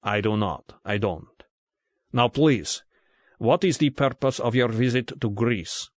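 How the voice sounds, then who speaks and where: A man's voice: medium pitch, no echo. A man putting on a weary Russian agent with a thick accent. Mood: tense, formal. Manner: formal, clipped, halting English. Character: tired, frightened middle-aged male Russian agent.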